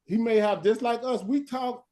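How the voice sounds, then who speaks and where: Halting, tired late-night stream host. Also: male voice, very high-pitched, over a noisy crowd. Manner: halting, many restarts and "hold on". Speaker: stand-in host of a live stream. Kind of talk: casual live-stream talk.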